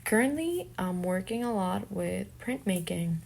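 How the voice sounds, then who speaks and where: A woman's voice: medium pitch, sing-song, unhurried, vocal fry, a little mellow, slight background noise. A young woman with a Colombian accent, a native Spanish speaker, talking informally and reflectively about herself as an artist. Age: young adult. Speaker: artist and fine arts student. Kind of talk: informal self-introduction monologue.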